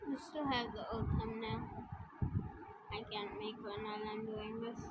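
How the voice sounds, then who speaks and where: A woman's voice: fairly high pitch, little pitch movement, moderate pace, very noisy. A woman chatting casually on a game livestream in a blunt, opinionated, slangy way. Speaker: streamer playing a game. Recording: music playing behind the voice. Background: game music.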